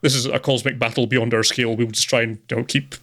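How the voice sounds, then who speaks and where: A man co-hosting a film podcast, chatting casually in a hesitant, measured way. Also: medium pitch, level tone, creaky, a bit bright. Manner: hesitant, measured, laughs often. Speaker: podcast co-host. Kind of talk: casual film review chat.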